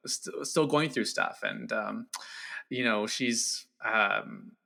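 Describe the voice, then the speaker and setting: A man speaking as a guest film critic in a casual discussion, halting and repeating words as he goes. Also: mid-range voice, creaky, pushed and bright.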